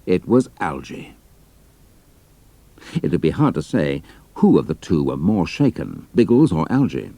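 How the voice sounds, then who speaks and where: A man's voice: low voice, big pitch swings, unhurried, long pauses, mellow, no echo. A British man reading scripted narration for an adventure story.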